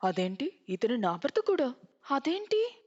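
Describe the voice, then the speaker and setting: A woman's voice: high-pitched, big pitch swings, unhurried, a little mellow, full character voice. A woman voicing young wives outraged at a cheating husband in a cartoon moral story. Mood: shocked, indignant. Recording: muffled, long hall reverb.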